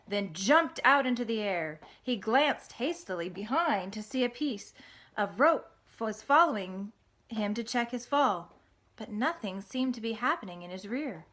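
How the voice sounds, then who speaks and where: A woman narrating a children's storybook in an expressive read-aloud style. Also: on the high side, unhurried. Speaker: storybook reader.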